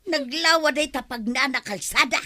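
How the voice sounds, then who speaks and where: A woman's voice: high-pitched, normal speed, bright, forceful tone, dry recording, studio quality. A woman with an Ilocano Filipino accent playing a pushy, gossipy, scheming village neighbour in a radio comedy. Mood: nagging. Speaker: radio drama actress. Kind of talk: broad radio comedy acting.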